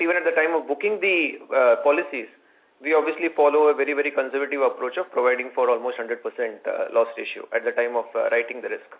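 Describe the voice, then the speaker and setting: A man with an Indian accent answers investor questions as a company executive, measured and repetitive, often hedging as he explains. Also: fairly high pitch. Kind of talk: earnings call answers. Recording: muffled conference call line.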